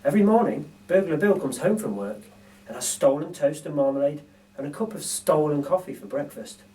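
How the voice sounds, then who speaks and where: A man reading a children's storybook aloud, with deliberate, dramatic phrasing. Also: fairly high pitch, animated, dark tone. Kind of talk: expressive children's story narration. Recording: distant microphone.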